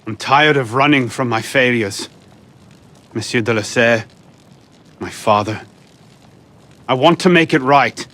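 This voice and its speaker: A man's voice: medium pitch, a little slow, pauses often, fairly bright tone, natural voice. An actor playing a remorseful, earnest young man seeking redemption in a video game scene, subdued and weary. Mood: remorseful, resolute. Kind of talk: video game cutscene acting.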